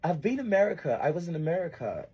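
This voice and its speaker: A young man being interviewed as a guest, giving short, easygoing answers in a soft-spoken way. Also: on the high side, big pitch swings, quick pace, breathy edge. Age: young adult. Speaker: guest performer.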